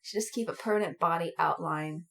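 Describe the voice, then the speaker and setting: A woman's voice: fairly low pitch, brisk, gravelly, crowd noise. A woman podcast co-host in casual chat, speaking in brief, agreeable responses.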